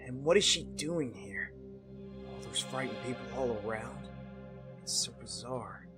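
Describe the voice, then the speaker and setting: A man voicing a young, brooding angel soldier in a visual novel, speaking in a measured monotone. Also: mid-range voice, over music, natural voice. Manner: flat, monotone, measured delivery. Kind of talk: scripted visual novel narration and dialogue.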